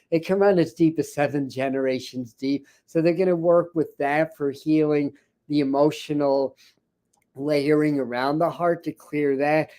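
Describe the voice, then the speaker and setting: A man with a scratchy, hoarse voice hosting a spiritual livestream, chatting casually with many fillers and restarts. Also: a little high, warm tone. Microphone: ring light setup, speaking to camera.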